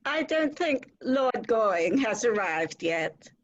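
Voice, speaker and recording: A woman's voice: a little high, big pitch swings, takes it slowly, heavily acted. A woman playing a polite, cool society hostess in a period stage comedy, on a slightly dull microphone.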